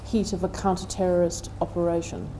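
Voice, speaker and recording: Mellow, deliberate woman giving a talk. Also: fairly low pitch, little pitch movement, slight background noise, poor audio. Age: middle-aged. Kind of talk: prepared seminar talk. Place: indoor seminar room, seated at table.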